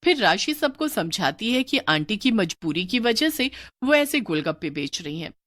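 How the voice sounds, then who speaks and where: A woman's voice: mid-range voice, sing-song, normal speed, a bit bright, no echo, studio quality. Woman telling a storybook tale. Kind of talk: scripted story narration.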